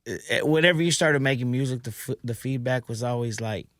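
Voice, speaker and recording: Man host, relaxed affirming chat, mid voice, dry close sound. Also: medium pitch, fairly quick, no echo. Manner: easygoing, affirming. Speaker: interview host. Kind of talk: casual interview chat.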